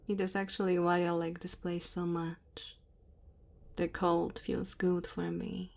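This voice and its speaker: A woman voicing a lonely, caring dragon in an intimate, tender ASMR roleplay. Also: on the low side. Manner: slow, tender, breathy murmuring. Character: dominant but caring, lonely female dragon. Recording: steady ambient fire and cave noise. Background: crackling fire ambience.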